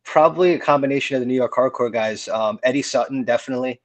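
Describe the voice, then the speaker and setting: Relaxed middle-aged man chatting, dry mic. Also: mid-range voice, fast talker, dry recording. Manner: relaxed, rambling, lots of fillers and restarts. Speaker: hardcore band vocalist, podcast guest. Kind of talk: casual interview chat.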